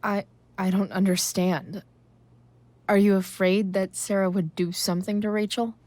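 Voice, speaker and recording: A woman's voice: mid-range voice, flat delivery, normal speed, a little mellow, no room sound, close to an everyday voice. An actress playing a concerned, questioning teenage girl in a video game drama, speaking naturally in a tentative, probing way. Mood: hesitant, concerned.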